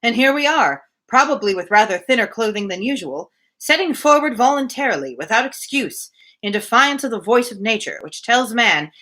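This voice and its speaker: A woman reading the part of a grumpy, blunt, sly gentleman with formal, emphatic phrasing in a storybook. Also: a little high, expressive, moderate pace. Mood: grumbling.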